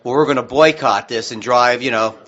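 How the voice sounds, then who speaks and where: An American man co-hosting a radio show in casual discussion, rambling haltingly and often restarting mid-sentence. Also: mid-range voice, fairly bright tone, dull audio.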